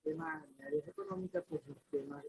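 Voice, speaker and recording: Gravelly teacher guy, high voice that jumps all over, faint hiss behind. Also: wildly swooping pitch, fast, warm tone, low-quality recording. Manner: sweeping pitch leaps between high and low, loose and chatty. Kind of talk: classroom lecture.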